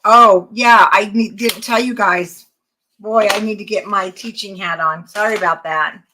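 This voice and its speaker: Older woman with a bright, worn voice. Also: mid-range voice, a little slow, slight background noise, low-quality recording. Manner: chatty, greets viewers while working. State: losing her voice. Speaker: craft teacher. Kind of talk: live-streamed craft tutorial.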